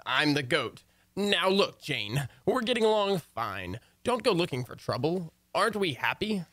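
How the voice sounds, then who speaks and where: A man's voice: fairly high pitch, sing-song, measured pace, fairly bright tone, dry recording. Man doing a put-upon husband, wry n plaintive, up and down intonation, radio comedy. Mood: wary, wry. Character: long-suffering, wry husband who narrates his wife's escapades. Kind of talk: old-time radio comedy acting.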